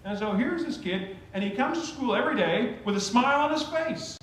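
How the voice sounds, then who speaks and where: Lively man preaching in an echoey church. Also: very high voice, fairly quick. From Oklahoma. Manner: conversational, storytelling preacher with lively rises. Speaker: pastor. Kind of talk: sermon. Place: church sanctuary. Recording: very echoey church sanctuary, faint hum.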